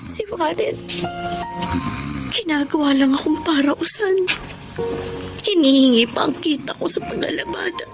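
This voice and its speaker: Very high-voiced, despairing young wife. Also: female voice, measured pace, pauses often. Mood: tearful despair. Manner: tearful, pleading lament. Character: young battered live-in wife, weary and despairing. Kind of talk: Filipino radio-style melodrama acting. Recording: dramatic music under the voice.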